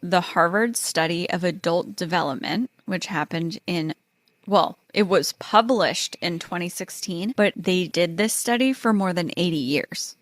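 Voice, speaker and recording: A woman's voice: on the low side, unhurried, no echo. A woman hosting a casual podcast chat, explaining research in a chatty, easygoing way. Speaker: podcast host.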